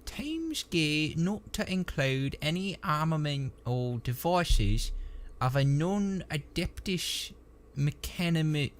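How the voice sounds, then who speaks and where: A man voicing a slow-witted, easygoing trooper with a folksy, halting manner in a comic story. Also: mid-range voice, wildly swooping pitch, voice pushed up, heavily acted.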